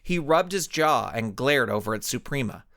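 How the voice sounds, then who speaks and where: A man reading his own serialized story as an animated scripted narration. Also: mid-range voice, moderate pace.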